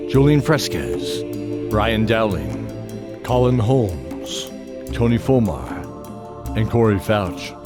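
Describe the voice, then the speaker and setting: A man narrating a fantasy audio drama as its host, in a steady storytelling voice. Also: medium pitch. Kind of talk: scripted narration. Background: music bed.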